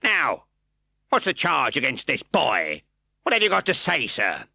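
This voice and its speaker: A man's voice: medium pitch, wildly swooping pitch, a little slow, vocal fry, husky, no echo, clean studio sound, pitched above the performer's own voice, heavily acted. A man reading aloud and voicing a harsh, sneering male magistrate who barks orders and insults. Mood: barking, scornful. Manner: barking, insulting, shouting orders.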